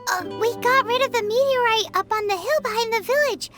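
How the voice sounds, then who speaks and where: A woman's voice: very high voice, fairly quick, over music. A woman voicing a tiny, chatty fairy companion in a video game, eager and talkative. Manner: chatty, eager, refers to herself by name. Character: tiny chirpy floating fairy companion, female.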